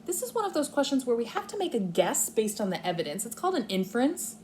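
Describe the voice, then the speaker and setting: A woman's voice: a little high, sing-song, fast talker, a little mellow, slight background noise. A woman speaking as a kindergarten teacher, explaining and thinking aloud step by step in a read-aloud lesson for young children.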